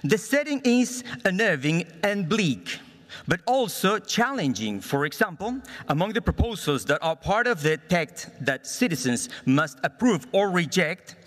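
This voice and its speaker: A man from Chile with a Chilean Spanish accent gives a formal, prepared political speech in English as a conference speaker, earnest and deliberate, stumbling slightly on some words. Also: high-pitched, a bit bright. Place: conference hall in Budapest. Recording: reverberant large hall sound.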